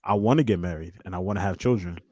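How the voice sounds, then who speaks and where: A man's voice: deep voice, fast, darkish tone, no echo. A man in his twenties chatting casually as a guest on a panel, in informal, slangy speech. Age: 20s. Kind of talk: casual chat.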